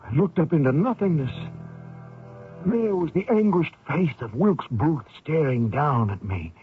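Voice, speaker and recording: A man's voice: on the high side, expressive, a little slow. An actor playing a desperate, wounded American fugitive soldier who dramatically recounts his escape in old-time radio style. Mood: haunted, tense. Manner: dramatic first-person narration with strained pleading. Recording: tinny old radio broadcast with music.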